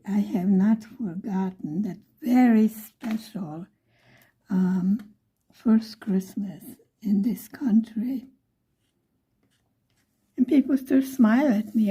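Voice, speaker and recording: A middle-aged woman from Buffalo, New York, telling a story in a soft, often whispery voice. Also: medium pitch. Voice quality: frequently whispery, breathy delivery. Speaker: vlogger.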